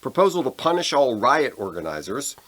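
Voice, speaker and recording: A man's voice: medium pitch, very animated, fairly quick, a bit bright, husky. An American man co-hosting a podcast, talking casually about politics in an opinionated, conversational way. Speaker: podcast co-host.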